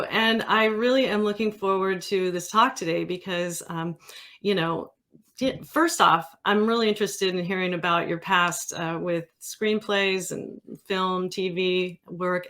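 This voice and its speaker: A woman's voice: mid-range voice, a bit bright. A woman hosting an interview, introducing and questioning her guest in a conversational style. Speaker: interview host.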